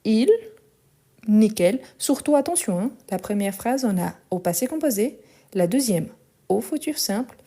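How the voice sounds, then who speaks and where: A woman teaches a live online French lesson, talking slowly and with care. Her voice is soft and mellow rather than bright, and she goes back over each phrase for her learners. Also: medium pitch, expressive. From France and Brazil. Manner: slow, clear, repeats phrases for learners.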